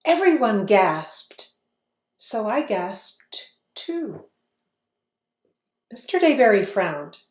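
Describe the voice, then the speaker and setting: Slow, expressive woman reading aloud. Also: medium pitch. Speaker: author reading her book aloud. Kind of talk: children's read-aloud. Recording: roomy echo.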